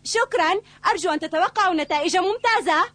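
A woman voicing a cheerful young boy who studies kung fu, talking brightly and eagerly in a cartoon dub. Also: extremely high pitch, very fast. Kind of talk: Arabic cartoon dubbing.

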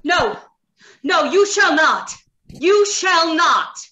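Radio-play robot lover, earnest and defiant, played by a woman: high, forceful, measured. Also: sing-song, highs cut off, heavily acted. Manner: earnest, declamatory. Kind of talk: 1930s radio drama acting. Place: indoors on a video call.

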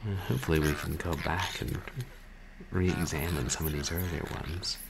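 Guy streaming a game, low creaky voice, dry musing asides, noisy background. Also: fairly even intonation, normal speed. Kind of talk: casual play-along commentary. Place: small room indoors. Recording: noticeable background noise.

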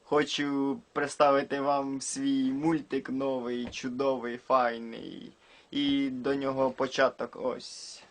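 A man's voice: medium pitch, level tone, slow, slight background noise. A man narrating his own amateur cartoon as its creator. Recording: music behind the voice.

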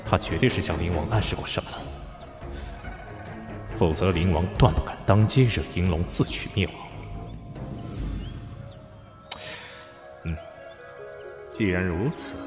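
A man acting a very low-voiced, world-weary prince. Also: lightly acted. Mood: calculating. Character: world-weary, scheming young prince in ancient China. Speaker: lead voice actor in an audio drama. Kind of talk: period audio drama acting with inner monologue.

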